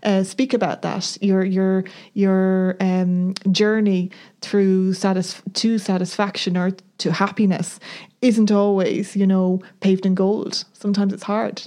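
A woman's voice: medium pitch, little pitch movement, takes it slowly, warm tone. A woman co-hosting a talk podcast, thoughtful and earnest, searching for words as she reflects. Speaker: podcast co-host. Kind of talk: reflective conversational discussion.

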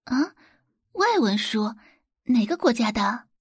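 Woman playing sweet young society belle, lilting, higher-pitched. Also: very animated, takes it slowly, slightly rough, dry recording, clean studio sound, exaggerated voice. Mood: curious, innocent.

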